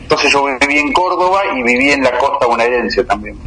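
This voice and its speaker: Bright-voiced Rioplatense man in his fifties. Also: medium pitch, slight background noise, rough recording. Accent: Rioplatense Argentine Spanish. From Buenos Aires, Argentina. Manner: unhurried, detailed storyteller. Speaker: guest journalist. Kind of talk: radio interview, recounting local cases.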